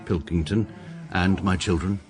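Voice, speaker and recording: A low-voiced, pompous English barrister narrates in a formal, pedantic, deadpan manner. He sounds middle-aged and utterly certain of his own logic. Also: measured pace, over music. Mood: dry. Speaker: narrator and lead character. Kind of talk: radio drama narration.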